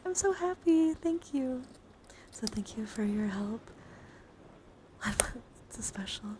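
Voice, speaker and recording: A woman streams ASMR in a gentle mix of whispers and soft talk. She is chatty and encouraging, with an ordinary mid-range pitch. There is noticeable background noise in the recording. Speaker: livestream host. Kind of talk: whispered ASMR, showing and reading out trading cards. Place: indoor livestream, hands-on camera.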